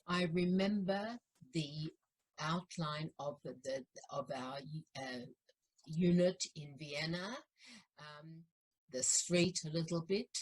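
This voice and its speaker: A woman in her eighties from Austria giving personal testimony over a video call, measured, with small restarts. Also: deep voice, breathy edge, rough recording. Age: 80s. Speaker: Holocaust survivor interviewee.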